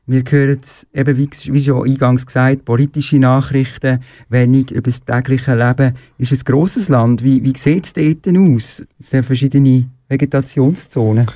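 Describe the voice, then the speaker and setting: Warm-toned man hosting an interview. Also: mid-range voice, moderate pace, hardly any pauses, no echo. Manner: supportive, rephrases guest's words, steady questions. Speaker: radio show host. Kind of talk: interview conversation.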